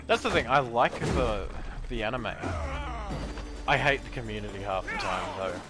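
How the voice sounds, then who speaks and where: A man's voice: mid-range voice, lively intonation, normal speed. A man giving casual gameplay commentary, ranting in an opinionated, profane way. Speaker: gaming commentator. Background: loud game music.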